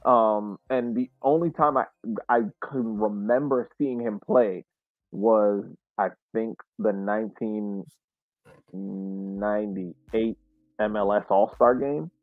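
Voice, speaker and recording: Man, lowish voice, casual podcast host, halting reflective delivery, dull dry recording, faint music behind. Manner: halting, repeats words while reflecting. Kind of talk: casual sports discussion. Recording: muffled, roomy sound.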